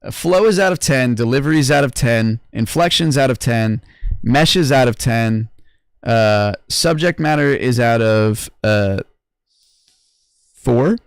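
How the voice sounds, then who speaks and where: Slangy laid-back man with a gravelly voice. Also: medium pitch, lively intonation, measured pace, dry recording, clean studio sound. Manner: loose, laid-back slangy talk with many restarts. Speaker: host judging music contest entries. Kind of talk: casual livestream review chat.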